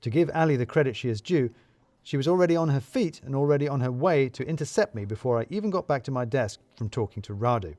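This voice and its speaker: A man's voice: medium pitch, expressive, quick pace, a little mellow, no room sound. A man narrating a scripted comic story in the first person, with a wry, wordy, theatrical manner.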